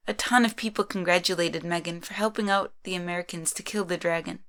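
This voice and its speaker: A woman's voice: fairly low pitch, fairly even intonation, fast talker, a bit bright. A woman narrating a scripted story in the third person.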